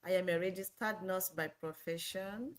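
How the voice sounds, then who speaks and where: A woman from Nigeria co-presents a live online talk over a video call. Her voice sits a little low. She reads her points in an earnest, steady way. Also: moderate pace.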